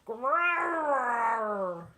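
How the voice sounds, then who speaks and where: A woman's voice: on the high side, very animated, extremely slow, pushed and bright, exaggerated voice. A woman voicing a big, hungry old male bear in a children's story, with wordless roars, growls and grunts. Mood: contented grumbling. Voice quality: growling, roaring. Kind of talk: children's storybook read-aloud.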